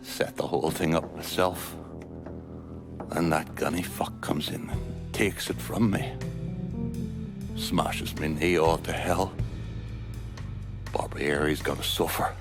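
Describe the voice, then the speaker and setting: Give this a bitter, belligerent older Irish gangster from a video game. His voice sits low and he takes his time, swearing with open contempt. Music plays clearly behind him. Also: steady tone, slow pace, pauses often, heavily acted. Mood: vengeful. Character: bitter, foul-mouthed, hard-drinking older Irish gangster. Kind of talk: video game cutscene acting.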